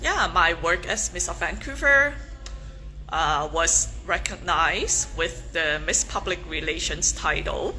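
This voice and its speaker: A young woman from Hong Kong, a native Cantonese speaker, introduces herself on a casual livestream, slowly and with many ums and restarts. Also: on the low side, animated, pushed and bright, soft background music. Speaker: pageant contestant.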